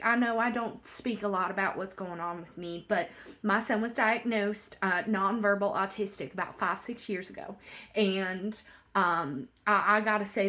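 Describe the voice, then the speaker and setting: A woman playing a gushing, folksy bank teller who talks loudly with a drawl. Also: mid-range voice, lightly acted. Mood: earnest.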